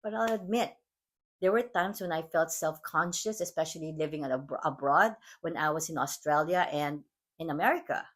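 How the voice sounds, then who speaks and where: A woman from the Philippines with a Filipino accent, hosting her podcast in an earnest, measured, reflective monologue. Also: deep voice, a bit bright. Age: adult mom. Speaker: podcast host. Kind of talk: scripted motivational monologue.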